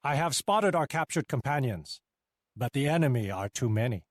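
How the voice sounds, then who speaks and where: A man's voice: medium pitch, animated, moderate pace, dry recording, clean studio sound, lightly acted. A man playing a stern, honourable Chinese fleet captain in a video game cutscene, speaking in a formal, grave, commanding way. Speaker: game character, military leader.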